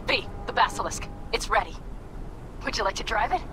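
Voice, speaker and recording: An actress playing a fiery, strong-willed young nomad woman in a video game, with expressive, emphatic delivery. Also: mid-range voice, brisk, music in the background, lightly acted, low-quality recording. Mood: excited. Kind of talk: cinematic video game voice acting.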